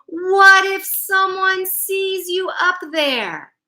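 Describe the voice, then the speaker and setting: A big, grumpy tough-guy dog from a picture book, played by a woman reading aloud. The voice jumps up high and bright, barking out bossy orders with forceful emphasis. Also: expressive, drawn-out words, heavily acted. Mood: annoyed, bossy. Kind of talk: children's storybook character reading.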